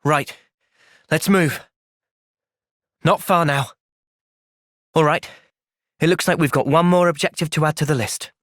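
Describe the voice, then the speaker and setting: A man with an English accent playing an earnest, thoughtful young soldier hero in a video game, speaking sincerely and with measured delivery. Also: on the high side, fairly even intonation, fairly bright tone, dry recording, clean studio sound. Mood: calm, earnest. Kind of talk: video game cutscene voice acting.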